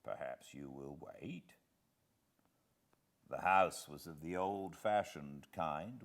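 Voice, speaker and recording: A British man narrating a literary audiobook in a measured, expressive way with dramatic pauses. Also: low-pitched. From Britain.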